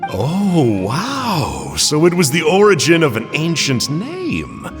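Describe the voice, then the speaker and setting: A man's voice: fairly high pitch, huge pitch swings, slow, heavily acted. A man voicing a chatty, slyly ingratiating stranger in a video game, theatrical and fussy, in long reverb with music. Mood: coaxing, curious. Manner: theatrical, folksy exclamations, coaxing. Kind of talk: video game character acting.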